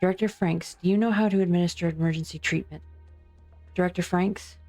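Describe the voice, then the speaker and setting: A woman's voice: fairly low pitch, steady tone, brisk, warm tone. A woman voicing a precise researcher with a formal, procedural way of talking. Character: precise female lab researcher. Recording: faint music underneath.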